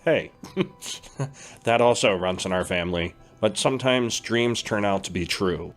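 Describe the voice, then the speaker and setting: A man's voice: fairly low pitch, unhurried, close to an everyday voice. Man playing a reassuring older brother. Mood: warm. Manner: reassuring, steady. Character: calm older-brother dream instructor, reassuring. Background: faint background music.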